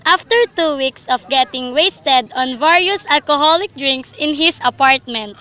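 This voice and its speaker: A woman's voice: high-pitched, measured pace, sharp tone. A young woman reading scripted literary narration for a student radio drama. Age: young adult.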